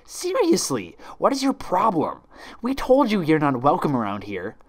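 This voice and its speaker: Guy doing a brash tomboy pegasus girl, high n in your face, cartoon fanfic reading. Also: huge pitch swings, moderate pace. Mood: aggressive. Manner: confrontational. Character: brash, hostile young female pegasus.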